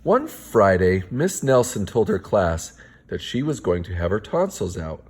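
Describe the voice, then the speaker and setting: A man with a fairly low voice reads a children's picture book like a playful dad. His pitch swings widely as he delivers it in a theatrical, announcer-like way. He slips in casual asides to the kids. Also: sing-song, a little slow, husky.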